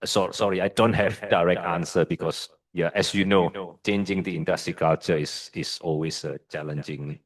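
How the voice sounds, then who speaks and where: A man with an Indonesian accent, a guest lecturer answering audience questions in a measured, hesitant way with frequent fillers and restarts. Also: deep voice, fairly even intonation.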